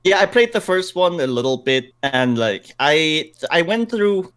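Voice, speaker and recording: A man's voice: on the high side, sing-song, unhurried, a bit bright, clear, resonant tone. A man co-hosting a gaming podcast, speaking very informally and swearing freely. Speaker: podcast co-host. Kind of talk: casual gaming podcast chat.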